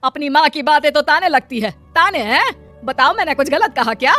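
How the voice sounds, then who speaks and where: High-voiced nagging cartoon mother, acted by a woman. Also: lively intonation, brisk, pushed and bright, faint music behind. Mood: scolding. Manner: scolding, exasperated. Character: nagging, scolding middle-aged mother of grown children. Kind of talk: Hindi moral-story cartoon dubbing.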